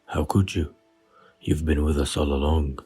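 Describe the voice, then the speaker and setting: A man playing a calm, sly, courteous elderly wizard headmaster in an audio drama, deep and husky, with unhurried politeness. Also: soft background music, full character voice. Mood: serene, courteous. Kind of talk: fan audio drama acting.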